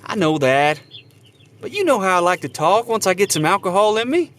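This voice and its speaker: An earnest young suitor played by an actor in an audio drama. His voice sits high for a man and moves up and down freely, sheepish and devoted. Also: big pitch swings, fairly quick, fairly bright tone.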